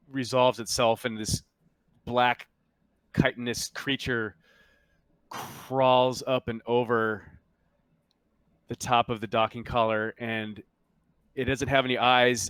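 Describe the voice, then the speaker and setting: Smooth-voiced man as game master, dry sound. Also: medium pitch, a bit bright, no echo, rough recording. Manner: halting, searching for words while describing scenes. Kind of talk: improvised narration of a tabletop horror game.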